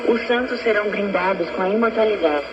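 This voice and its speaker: A man's voice: sits high, quick pace, background noise. A man reading a scripted religious radio announcement. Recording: muffled shortwave radio with music.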